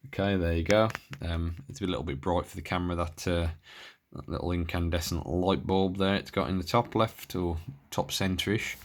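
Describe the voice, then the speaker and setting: A man's voice: low voice, fairly even intonation, moderate pace, slight background noise. A British man giving a casual, unscripted demo of his radio gear in a rambling, hesitant way, often interrupting himself. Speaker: hobbyist showing and reviewing his radio gear. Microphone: handheld camera.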